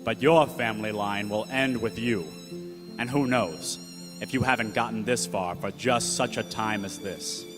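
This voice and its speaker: A man's voice: on the low side, unhurried, natural voice. A man playing a defiant, principled uncle who talks in gangster-era slang in a radio play. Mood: solemn. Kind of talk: old-time gangster radio play acting. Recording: echoey hall with music behind.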